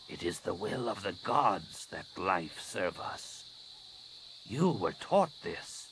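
A man voicing a stern alien woman of rank, a master scientist, speaking in a slow, hushed, imperious way for a story. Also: deep voice, sing-song, exaggerated voice. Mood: quietly stern. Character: stern female alien master scientist nearing middle age. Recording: clearly noisy recording.

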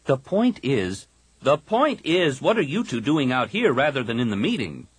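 A man's voice: medium pitch, sing-song, moderate pace, slightly rough, no echo, pitched above the performer's own voice. A man voicing a blunt, stern young male Jedi who snaps his words. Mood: curt. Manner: snappish.

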